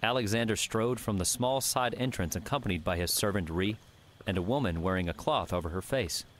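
A man narrating a fiction audiobook with measured, scripted storytelling. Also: lowish voice, a little hiss or hum.

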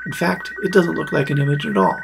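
A man in his forties with a husky, slightly gravelly voice, narrating an explanatory tutorial. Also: fairly high pitch, big pitch swings, fast talker, background noise. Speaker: video maker presenting a tutorial.

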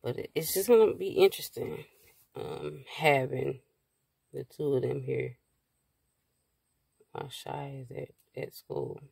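A woman in her thirties chatting casually to her phone from inside a parked car, rambling and relaxed with many fillers. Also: rumbling low, unhurried, creaky, pauses often, breathy edge, no echo. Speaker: vlogger. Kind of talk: casual car chat to camera. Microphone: phone held at arm's length.

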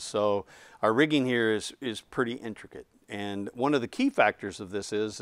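A man's voice: mid-range voice, smooth, dry recording. A man in his fifties giving an unscripted technical explanation of a product as its designer. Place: indoor studio set, seated.

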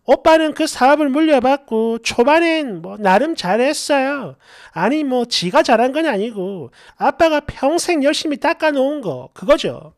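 A man's voice: very high-pitched, no room sound, studio quality. A middle-aged man hosting a story channel, reading a first-person story aloud in a colloquial, exasperated, dramatized way with asides.

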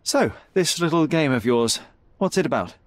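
A man's voice: on the high side, expressive, moderate pace, lightly acted. A man playing a young, brilliant detective in a video game, cool, rational and precise, with a slightly haughty manner. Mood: cool, probing. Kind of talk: video game cutscene acting.

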